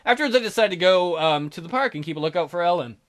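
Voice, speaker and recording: A woman's voice: on the low side, lively intonation, fast. A woman let's play host reading visual novel narration aloud with irreverent, joking asides. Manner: irreverent, jokey. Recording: music behind the voice.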